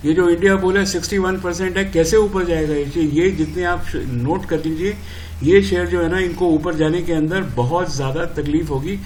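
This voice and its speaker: Indian male educator, slightly high voice, exasperated and opinionated explainer, informal, faint background noise. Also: normal speed, darkish tone, low-quality recording. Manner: exasperated, opinionated, uses rhetorical questions and repetition. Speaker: stock market educator. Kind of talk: informal explanatory commentary with Hindi-English code-switching.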